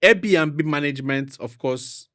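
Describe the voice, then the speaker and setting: A man from Nigeria with a Nigerian accent, a real estate professional chatting fast and laughing often as a podcast guest. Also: a little high. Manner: fast-talking, laughs often, animated storytelling. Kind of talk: casual podcast chat.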